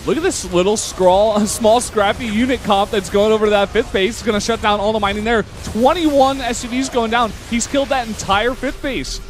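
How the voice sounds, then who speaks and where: A man's voice: sits high, brisk, hardly any pauses, slight background noise. A young man giving color commentary on a strategy game, laid-back and analytical, chuckling often. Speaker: esports co-caster. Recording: commentary over loud game music.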